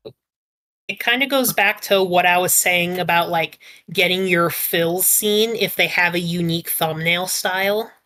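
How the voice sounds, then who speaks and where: Female panelist, slightly low pitch, bright clear-cut tone, casual opinionated explainer. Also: measured pace. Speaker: podcast panelist.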